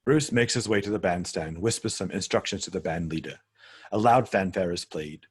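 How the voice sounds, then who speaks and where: A man's voice: deep voice, normal speed, husky, no room sound. A man reading scripted stage directions as narrator of a table read.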